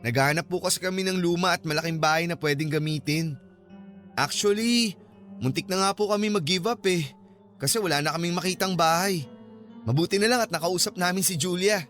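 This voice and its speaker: An eager young male vlogger in a Filipino radio drama. His voice is high for a man, with a polite, persuasive manner. Faint music plays behind him. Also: a little fast, a bit bright.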